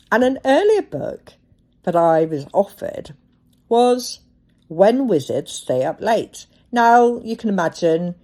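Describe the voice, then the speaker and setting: A woman hosting a solo podcast, telling things reflectively with deliberate, dramatic phrasing. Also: medium pitch, mellow. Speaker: podcast host.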